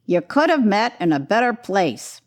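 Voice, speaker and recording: A woman's voice: medium pitch, big pitch swings, moderate pace, close to an everyday voice. A woman voicing a jovial, sly gray-haired shopkeeper of nearly seventy in a storybook, colloquial and exclaiming. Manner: colloquial, exclaiming, repeating himself.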